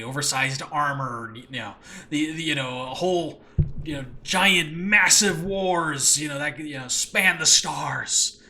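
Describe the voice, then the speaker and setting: A man with a very bright, forceful voice at a middling pitch talks like an excited podcast host. He rambles casually and with lots of enthusiasm. It sounds like a makeshift home setup, with faint noise behind him. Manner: enthusiastic, animated, peppered with chuckles and mild swearing. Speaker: podcast host and audio drama creator. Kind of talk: casual solo rambling chat about a show in progress. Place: indoors in a makeshift home space.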